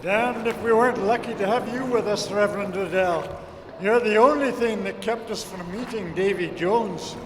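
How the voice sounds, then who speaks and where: Man as blunt, high-pitched shipwrecked sailor. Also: moderate pace, lightly acted. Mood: grateful. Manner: salty, blunt. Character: rough plain-spoken shipwrecked boat crewman. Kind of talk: old-time radio adventure acting. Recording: reverberant hall, clear background noise.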